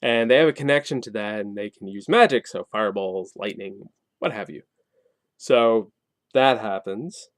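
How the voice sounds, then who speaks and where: A man's voice: lowish voice, normal speed, no room sound. A man giving a casual spoken review and explaining a game setting, colloquial and wry with sarcastic asides. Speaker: tabletop game reviewer and host.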